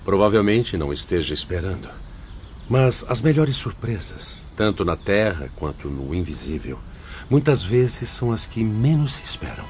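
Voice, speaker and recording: A man plays a courteous mentor in an audiobook drama, low-pitched with very varied intonation. The sound is dull, with faint noise. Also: very animated, normal speed, darkish tone. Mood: kindly. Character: courteous spirit mentor greeting his charge.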